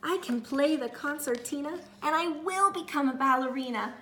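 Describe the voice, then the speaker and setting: A woman's voice: high voice, moderate pace, sharp tone, reverberant, voice pushed up. Woman voicing a theatrical, dreamy young girl javelina. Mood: excited. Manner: theatrical, sing-song. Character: dreamy young female javelina, aspiring ballerina singer. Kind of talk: children's storybook character voice.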